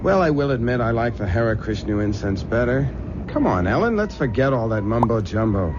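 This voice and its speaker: An actor playing a sceptical, affectionate young American groom, wisecracking in slang in an old-time radio mystery. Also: male voice, medium pitch, big pitch swings, fairly quick, natural voice. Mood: teasing. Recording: dull, noisy old radio drama recording.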